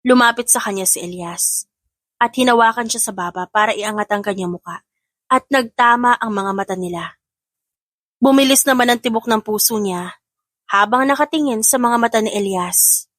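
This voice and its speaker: A woman's voice: on the high side, moderate pace, fairly bright tone, no echo. A woman with a Filipino accent reading a scripted romance story as an audiobook narrator, in a dramatic storytelling style.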